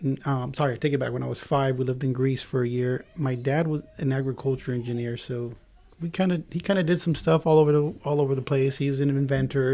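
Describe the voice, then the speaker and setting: A man in his forties from Israel, a native Hebrew speaker, casually telling personal stories on a podcast. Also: a little high. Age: 40s. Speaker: podcast guest and co-host.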